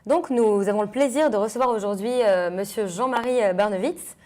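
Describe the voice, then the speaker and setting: A woman's voice: medium pitch, a little fast, warm tone. A young woman, a student interviewer, asking questions hesitantly with many fillers. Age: young adult. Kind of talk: interview hosting.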